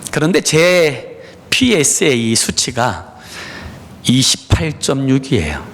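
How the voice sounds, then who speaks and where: A middle-aged male pastor gives a Sunday sermon in his church. He speaks to the congregation in an earnest, personal way and often pauses on "uh". His pitch moves up and down a lot as he talks. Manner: frequent "에" fillers, personal and earnest, addresses congregation. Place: church sanctuary.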